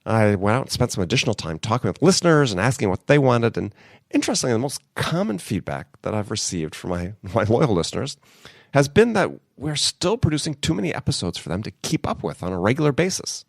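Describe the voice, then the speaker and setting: A man's voice: medium pitch, big pitch swings, quick pace, creaky, no echo, studio quality. A man hosting a podcast in casual, upbeat talk while getting over a cold. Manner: upbeat, conversational. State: has a cold. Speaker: podcast host.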